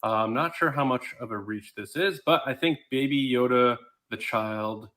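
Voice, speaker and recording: A man who hosts his own podcast, delivering a short prepared prediction. He speaks in an upbeat, promotional way at an ordinary pitch. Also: normal speed, fairly bright tone. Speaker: podcast host guest. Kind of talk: prepared prediction with show plugs.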